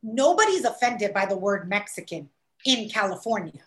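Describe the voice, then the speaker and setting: A woman's voice: mid-range voice, moderate pace. A woman from New York City with a Puerto Rican-tinged New York accent, talking casually and acting out quoted voices. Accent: New York Puerto Rican-influenced. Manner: animated, acts out quoted voices. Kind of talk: casual conversation. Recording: echoey room.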